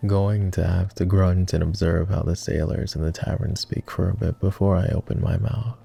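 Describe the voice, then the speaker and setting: A man playing a flirty, courtly vampire aristocrat in an intimate roleplay ASMR, with refined, formal, teasing speech in a low, gravelly murmur. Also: fairly even intonation, moderate pace, dark tone, faint music behind, exaggerated voice. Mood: amused, casual. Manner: refined, formal diction with playful teasing and sighs.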